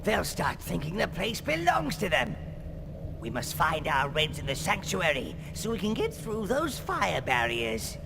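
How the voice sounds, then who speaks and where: An actor playing a wizened, fawning, gleefully evil old minion advisor in a video game, creaky and theatrical. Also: male voice, a little high, huge pitch swings, unhurried, background noise, exaggerated voice. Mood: urgent, goading. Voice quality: creaky, gravelly. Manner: obsequious, theatrical servant addressing his master. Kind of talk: video game cutscene acting. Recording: game music under the voice.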